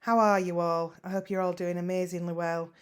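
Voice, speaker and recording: Chatty West Yorkshire woman, low voice. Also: level tone, fairly quick, clear, resonant tone. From West Yorkshire, England. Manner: chatty, self-deprecating, many ums and repeated words. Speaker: online seller vlogger. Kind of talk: casual screen-share vlog.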